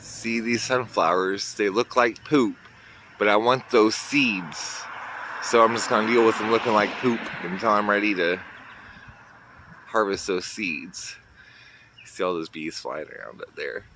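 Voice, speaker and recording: A man with a lowish voice strolls through his garden, chatting to viewers as he points out plants. He sounds a little congested. The phone recording is made outdoors, with a faint hum of traffic in the background. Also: measured pace. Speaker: garden vlogger. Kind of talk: casual garden walkthrough. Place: outdoors in a garden near a road. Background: faint traffic and outdoor ambience.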